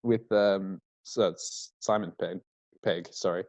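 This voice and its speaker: A man's voice: low voice, steady tone, slow, darkish tone. A young Australian man from Victoria in casual podcast banter, rambling, repeating himself and swearing often. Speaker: podcast co-host. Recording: slightly dull lo-fi recording.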